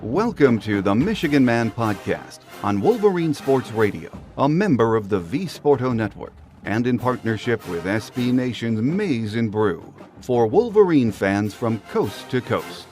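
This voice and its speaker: A man's voice: fairly low pitch, a little mellow. A man hosting a sports podcast, giving commentary on football and interviewing guests. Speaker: podcast host.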